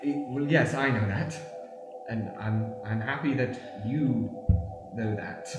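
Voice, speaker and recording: A man playing a polite, mild-mannered boyfriend with a gently dry delivery in an improvised comedic radio play. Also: mid-range voice, takes it slowly, music in the background, close to an everyday voice. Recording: very echoey room, faint noise.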